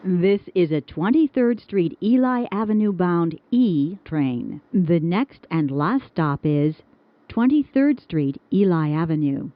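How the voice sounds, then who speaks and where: A woman reading recorded subway announcements in a measured, formal manner. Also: on the low side, expressive, no room sound. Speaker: transit announcer. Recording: dull, lo-fi announcement audio.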